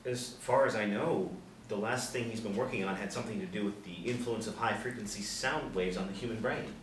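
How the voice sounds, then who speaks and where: An actor reads a mild, thoughtful man in a TV drama script. The voice is fairly low and sounds roomy and distant, with faint noise. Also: a little fast, close to an everyday voice. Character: mild, thoughtful man, helpless ghost companion. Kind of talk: table-read drama. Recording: echoey room, distant mic, faint noise.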